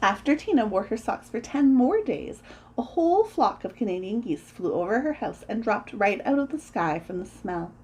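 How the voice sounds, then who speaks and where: A woman reading a children's story aloud in an expressive, deliberate way, as a storyteller acting out the sound words. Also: fairly high pitch.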